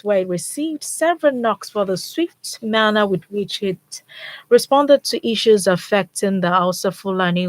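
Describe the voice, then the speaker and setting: A woman reading the news and then questioning a guest on radio in a studio, in a steady formal way with the odd filler. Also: mid-range voice, dry recording. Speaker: news presenter. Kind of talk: radio newsreading and studio interview.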